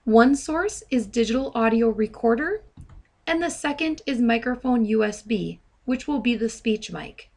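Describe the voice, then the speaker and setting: A woman presenting step-by-step software training in a deliberate, instructional manner. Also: fairly high pitch, animated. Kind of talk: step-by-step tutorial narration. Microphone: handheld dictation microphone.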